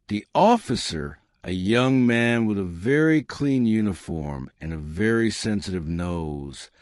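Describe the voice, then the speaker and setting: A man narrating a scripted comic story with dramatic, deadpan delivery and deliberate pauses. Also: fairly low pitch, dry recording, studio quality.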